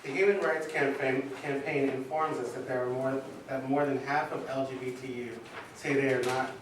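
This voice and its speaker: An actor as a male narrator giving a formal factual report. His medium-pitched voice is far from the mic, with heavy room echo around it. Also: fairly even intonation, normal speed, natural voice. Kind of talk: formal reading of statistics. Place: stage in a reverberant room, recorded from afar. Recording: echoey hall, far mic, faint noise.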